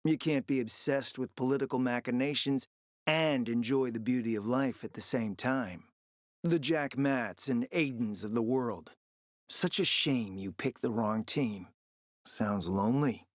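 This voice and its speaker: A man's voice: mid-range voice, unhurried, no room sound, clean studio sound, lightly acted. An actor playing a smug, flirtatious, decadent gourmand fixer in a video game, speaking in a pompous, theatrical, condescending way and savoring his words. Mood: smug, scheming. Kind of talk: video game character acting.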